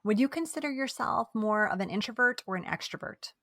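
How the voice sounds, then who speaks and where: A woman's voice: fairly high pitch, lively intonation, a little fast, gravelly, sharp tone, dry recording, studio quality. A woman hosting an interview podcast, encouraging and affirming toward her guest and her listeners. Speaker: podcast host.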